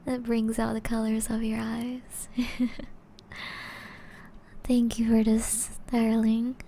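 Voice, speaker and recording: A woman playing a flirtatious, seductive female vampire in an intimate ASMR roleplay, breathy and often whispering, with slow teasing endearments. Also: a little high, monotone, mellow, slight background noise. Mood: tender, teasing.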